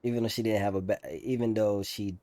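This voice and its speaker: A young man talking casually over gameplay as a streamer, candid and slangy, in a halting way. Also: on the low side, fairly even intonation, dark tone, dry recording. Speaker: gaming streamer.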